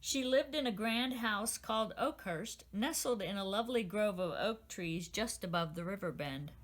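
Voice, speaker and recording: A woman reads her own children's book aloud, gentle and expressive. Her voice sits at an everyday middle pitch, unhurried storytelling. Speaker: author reading her book.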